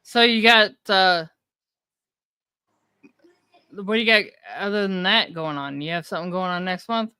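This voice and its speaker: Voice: medium pitch, measured pace, pauses often, sharp tone, clear, resonant tone, no echo, studio quality. A podcast host asking casual interview questions, with upbeat, brief, encouraging replies.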